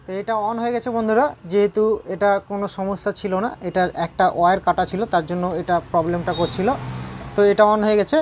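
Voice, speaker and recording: Very fast-talking woman, noisy recording. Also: medium pitch, steady tone, darkish tone. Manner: repetitive, checking in with viewers with tag questions. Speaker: repair tutorial presenter. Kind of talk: informal hands-on repair tutorial narration.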